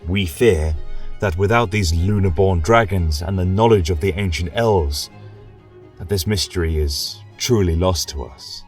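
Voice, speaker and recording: Man acting a deep-voiced, genial fantasy history lecturer. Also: measured pace, soft background music. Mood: musing, wistful. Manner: flowery, gently coaxing, chuckling scholar. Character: genial, rambling male magic-college history lecturer. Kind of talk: in-character fantasy lecture, scripted audio drama.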